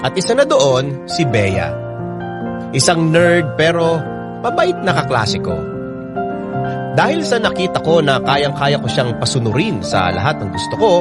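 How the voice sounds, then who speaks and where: A cocky young campus heartthrob. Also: male voice, fairly high pitch, huge pitch swings, unhurried, loud music behind the voice. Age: young adult. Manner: cocky, teasing, playful. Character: smug handsome young college heartthrob. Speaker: narrator and lead character. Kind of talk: radio drama acting.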